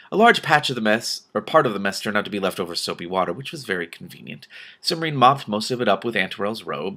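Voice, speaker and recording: A man's voice: lowish voice, fairly bright tone. A man reading a fantasy novel aloud as host, expressively, with casual off-the-cuff asides.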